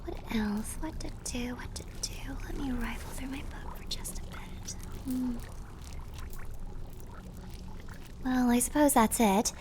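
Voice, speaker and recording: A woman playing a clumsy, affectionate and slightly mad plague doctor girlfriend in a theatrical, breathy ASMR roleplay. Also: on the high side, moderate pace, close to an everyday voice. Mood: gentle, musing. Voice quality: breathy, often whispered. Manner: theatrical, affectionate, quaint turns of phrase. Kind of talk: ASMR roleplay, scripted character acting.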